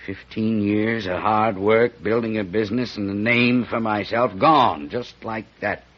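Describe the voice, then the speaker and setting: Casting: small-town Western banker, American, earnest and uneasy, husky low voice, old radio-drama sound. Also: male voice, steady tone, unhurried. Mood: anxious, desperate. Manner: folksy, earnest. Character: worried small-town Western banker man, folksy and anxious. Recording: muffled, band-limited old radio recording.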